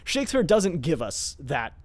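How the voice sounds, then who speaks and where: A man's voice: fairly high pitch, sing-song, moderate pace. A man speaking as a theatre director in an informal, scholarly talk, enthusiastic but often searching for words as he explains. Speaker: theatre director discussing his production.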